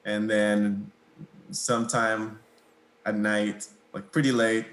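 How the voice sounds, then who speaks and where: A young man from Mexico whose first language is Spanish, answering interview questions in a relaxed, hesitant way with many pauses and small laughs. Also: fairly low pitch, roomy sound. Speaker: guitarist, interview guest.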